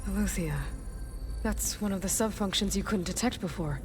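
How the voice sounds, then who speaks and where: A woman's voice: mid-range voice, moderate pace, soft background music, natural voice. A woman playing a determined, pragmatic young hunter in a video game, with a direct, dry, conversational delivery. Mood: curious, focused. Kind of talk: naturalistic game dialogue.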